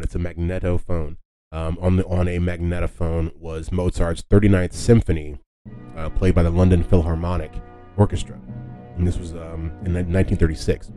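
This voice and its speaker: A man hosting a podcast, explaining history casually in a halting, conversational way and often rephrasing himself. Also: sits low, dark tone. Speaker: podcast host.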